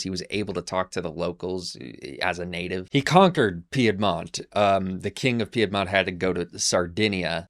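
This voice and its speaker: Male history narrator, deep voice, relaxed conversational delivery, loose unscripted pacing. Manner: conversational, frequent fillers and restarts. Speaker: history channel host. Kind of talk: casual history lecture.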